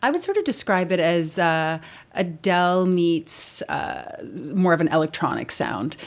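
A young woman in her twenties whose first language is Albanian, a musician guest on a radio show, talking quickly with frequent ums and restarts. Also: fairly low pitch, sing-song. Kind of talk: casual radio interview. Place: radio studio.

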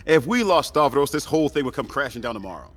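An actor playing an excitable, anxious, catty theatre producer in an audio comedy, dramatic and gossipy with a husky voice. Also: male voice, medium pitch, fast talker. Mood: alarmed.

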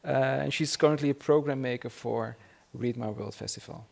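Male moderator with a gravelly voice, introduces a guest, halting and full of fillers. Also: mid-range voice, darkish tone. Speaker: event moderator.

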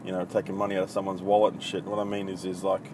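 A man's voice: deep voice, monotone, rapid-fire. A man in his thirties from country Victoria with an Australian accent, hosting a casual solo podcast in a blunt, profane, rambling way full of ums. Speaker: podcast host. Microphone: iPhone. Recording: noisy phone recording with traffic.

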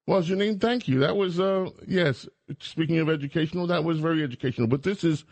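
A man's voice: fairly high pitch, fast talker, a little mellow, no echo. Sarcastic radio host, man, close dry studio mic, mocking guests. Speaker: radio talk show host. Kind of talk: talk radio chat. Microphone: studio microphone.

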